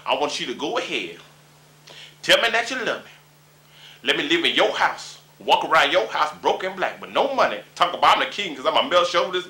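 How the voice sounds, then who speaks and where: A man's voice: mid-range voice, pushed and bright. A man in his forties from Dayton, Ohio, doing a rambling, boastful comic rant on a local talk show. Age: 40s. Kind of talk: comic monologue and banter. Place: small room indoors.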